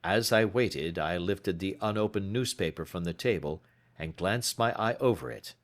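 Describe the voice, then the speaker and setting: A man reading scripted literary narration as an audiobook narrator, in a measured, dramatic storytelling manner. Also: low voice.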